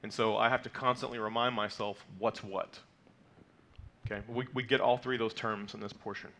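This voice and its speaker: A man's voice: lowish voice, fairly even intonation, normal speed, bright, forceful tone. Man teaching a Torah portion at a lectern. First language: not Hebrew. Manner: explanatory, frequent fillers and "okay?" check-ins. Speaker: congregation teacher. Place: sanctuary, mid-size indoor hall.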